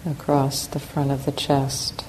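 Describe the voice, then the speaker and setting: A woman teaching a guided meditation, giving calm, unhurried instructions with long pauses between phrases. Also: extremely low pitch, low-quality recording. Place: meditation center hall. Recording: clear steady background noise.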